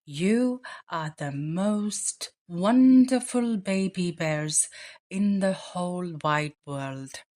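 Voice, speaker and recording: A woman's voice: lowish voice, very slow, a little mellow. A woman voicing a tender, adoring mother bear with a soothing manner in a children's storybook read-aloud.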